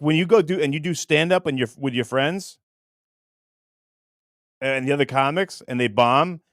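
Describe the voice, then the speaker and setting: A man's voice: medium pitch, no room sound. Guy hosting a livestream, voice all over the place, rambly and self-mocking, dry close sound. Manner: rambling, self-deprecating, breaks into laughter. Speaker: livestream host. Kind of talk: casual chat reading viewer comments.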